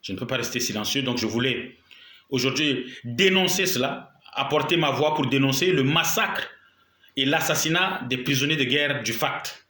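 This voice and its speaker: A man's voice: medium pitch, animated, moderate pace, bright, forceful tone, roomy sound. A man with a cold and a sore throat, giving an indignant video statement and repeating his points for emphasis. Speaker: political commentator.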